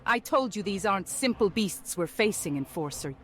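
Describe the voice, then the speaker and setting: A woman's voice: mid-range voice, lively intonation, normal speed, fairly bright tone, natural voice. A woman voicing a wary, determined young female adventurer who talks earnestly and directly, over music.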